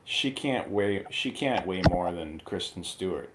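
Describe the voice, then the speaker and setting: Male review-show guest, slightly low voice, irreverent and colloquial, informal joking film talk. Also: animated, measured pace, background noise. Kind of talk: casual movie review chat.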